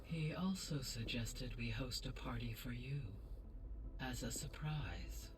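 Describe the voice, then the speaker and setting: A woman's voice: a bass voice, background noise. Distant, formal female AI. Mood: calm, informative. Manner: formal, measured, precise. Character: calm, courteous female artificial intelligence guide. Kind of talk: video game voice acting. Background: game music.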